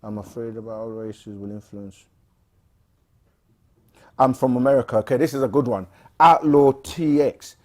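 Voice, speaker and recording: A man co-hosting a casual livestream, reading viewer questions aloud and answering them with frequent pauses and fillers. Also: medium pitch. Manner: relaxed, frequent "um" and restarts, reads questions aloud.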